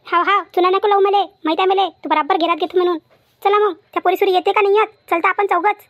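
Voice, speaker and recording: A woman's voice: extremely high pitch, steady tone, fast talker, a bit bright, no room sound. A woman voicing a bossy, fussing village auntie in a cartoon comedy, grumbling and chatty, with a Varhadi Marathi accent. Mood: scolding. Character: bossy, fussing village auntie, caring about her workers.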